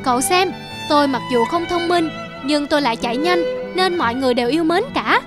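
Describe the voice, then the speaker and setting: A woman's voice: high-pitched, a little fast, natural voice. A woman voicing a kindly horse in a cartoon fable, concerned and full of advice. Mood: advising. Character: kindly horse, proud of running, giving advice. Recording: music under the voice.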